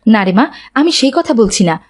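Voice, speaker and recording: A woman's voice: fairly high pitch, expressive, moderate pace, darkish tone. A woman voicing a doting, trusting village mother in a children's cartoon, speaking affectionately and expressively. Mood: questioning. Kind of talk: children's moral cartoon dubbing.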